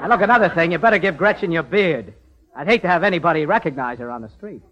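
A man's voice: a little high, animated, quick pace, highs cut off, natural voice. An actor playing a brash, wisecracking con man posing as a detective in old-time radio comedy. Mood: brisk. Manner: glib, wisecracking, teasing. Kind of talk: 1940s-50s radio musical comedy acting.

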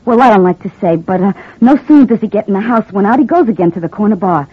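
An actress playing a scheming working-class wife in an old-time radio crime drama, talking in streetwise slang and sweetly feigning victimhood. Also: female voice, medium pitch, expressive, quick pace, husky. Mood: plaintive, fretful. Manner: streetwise slangy, ungrammatical talk. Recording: muffled, phone-like vintage radio recording.

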